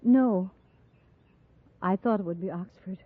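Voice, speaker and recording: An actress playing a stoic, grieving frontier mother in her thirties in a 1950s radio drama, solemn and halting, with a breathy edge. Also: mid-range voice. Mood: subdued, wistful. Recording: muffled vintage 1950s radio recording.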